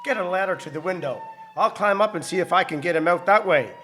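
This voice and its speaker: A man plays a brave deputy fire chief in a radio drama. He barks orders in a high, sharp voice. There is faint music underneath. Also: quick pace. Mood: urgent, commanding. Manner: barking orders.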